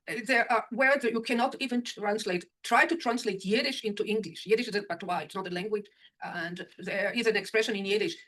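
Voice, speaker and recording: A woman interpreter speaking from the audience, loud and blunt in short emphatic statements. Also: medium pitch. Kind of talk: audience comment.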